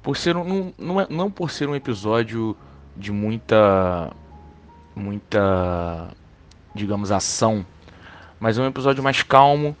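A Brazilian-accented man chatting casually and haltingly as a podcast panelist. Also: on the low side. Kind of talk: casual chat.

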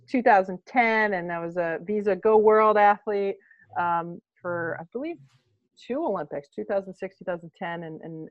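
A woman's voice: medium pitch, dry recording, muffled recording. A woman hosting a podcast interview, chatty and loose, leaning on fillers as she frames her questions. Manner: chatty, many fillers and "obviously". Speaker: podcast host.

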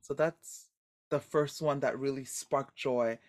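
A woman with a deep voice, a guest actor in a casual podcast interview, telling stories in an animated, expressive way and laughing often. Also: slow pace.

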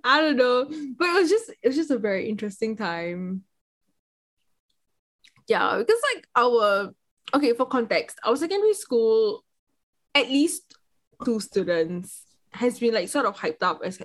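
Young woman, podcast host, slightly high voice, chatty and giggly, heavy on "like". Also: very animated, a little slow, long pauses. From Singapore. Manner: chatty, laughs often, lots of "like" fillers. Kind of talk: casual chat.

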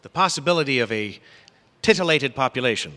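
An actor playing a smug, petulant, spoiled rich mogul with a glib, whiny manner in comic radio theatre. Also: male voice, medium pitch, lively intonation, a little fast, bright, forceful tone. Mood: smarmy. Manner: glib, whiny, self-satisfied. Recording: hall reverb, live stage.

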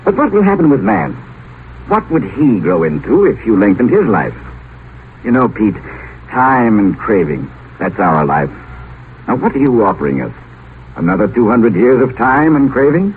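Mild ironic idealist, musing, animated intonation, old radio play, narrow tinny sound. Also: male voice, medium pitch, a little hiss or hum. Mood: philosophical. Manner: musing, measured, gently ironic, hesitant. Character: wry, philosophical, mild middle-aged gentleman idealist. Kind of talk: 1950s radio drama acting.

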